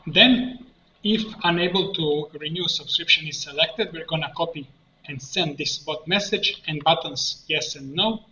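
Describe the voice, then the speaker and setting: A man giving an unscripted screen-share software tutorial, explaining step by step in a halting way. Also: on the high side. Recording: muffled roomy mic with faint noise.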